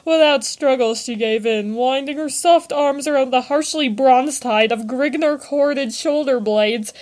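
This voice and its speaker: Woman doing storybook narration, kinda high voice, theatrical about the flowery prose. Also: unhurried, a little mellow. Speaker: reader of a story aloud. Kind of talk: storybook narration, dramatic read-aloud.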